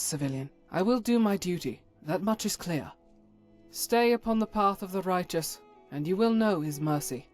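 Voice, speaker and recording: A woman's voice: fairly low pitch, a little mellow, close to an everyday voice. Solemn, zealous female cleric, played by an actress. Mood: stern. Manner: solemn, formal, righteous. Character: zealous, stern female cleric, devout and unyielding. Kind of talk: video game voice acting.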